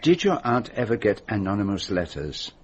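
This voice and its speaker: An actor playing a courteous, methodical detective in a radio drama, with a French-speaking Belgian accent and formal, probing speech. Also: male voice, lowish voice, very animated, normal speed, a little hiss or hum. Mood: gently probing.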